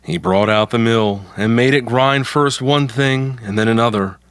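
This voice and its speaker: A man's voice: medium pitch, normal speed, fairly bright tone, clean tone, no room sound. A man narrating a folktale from a script as the storyteller.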